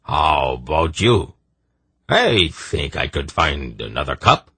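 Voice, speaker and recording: A man's voice: very deep voice, very animated, takes it slowly, bright, forceful tone, breathy edge, dry recording, heavily acted. A man voicing a coarse, crafty male trader who talks bluntly. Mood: gruff.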